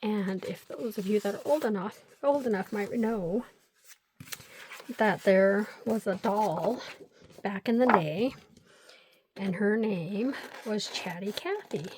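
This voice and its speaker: A woman's voice: mid-range voice, slow, pauses often, a little mellow, a little hiss or hum. An older woman hosting a crafting vlog, chatting and rambling through stories while she glues paper pieces. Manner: talks to herself while gluing. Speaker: crafting channel host.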